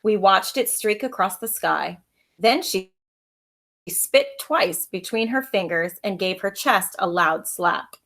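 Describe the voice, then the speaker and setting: A woman reads a children's story aloud in the manner of a schoolteacher. Her voice sits at a middle pitch, and her delivery is expressive storytelling aimed at young listeners. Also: slow. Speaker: elementary school teacher reading aloud.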